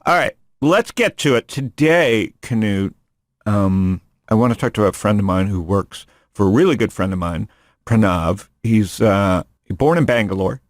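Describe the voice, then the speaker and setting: A man's voice: fairly low pitch, animated, a little slow, no echo, studio quality. A man hosting a podcast in casual chat, rambling and joking, often restarting his sentences. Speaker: podcast host. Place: studio room.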